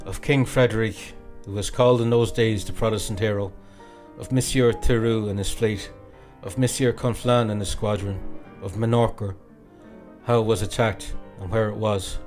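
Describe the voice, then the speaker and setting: A man reading a classic novel as an audiobook narrator, in an expressive, dramatic storytelling way. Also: fairly low pitch, normal speed, faint music behind.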